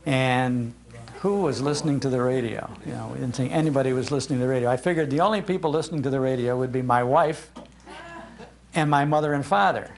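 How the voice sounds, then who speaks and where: A man's voice: mid-range voice, quick pace, vocal fry. An older man, a radio host, giving an informal live talk in a chatty, anecdotal way into an event microphone. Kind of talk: informal public talk, storytelling. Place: speaking live in a public library room.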